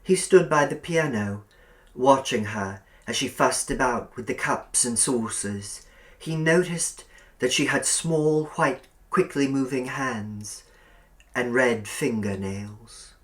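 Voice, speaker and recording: A man's voice: mid-range voice, slow pace. A man reading a short story aloud as a scripted audiobook narrator.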